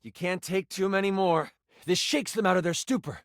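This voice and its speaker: A man's voice: high-pitched, moderate pace, no room sound, clean studio sound. A man voicing a playful, dramatic tabletop game master in a video game, narrating with theatrical suspense. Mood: dramatic, suspenseful. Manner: theatrical, suspenseful storytelling delivery.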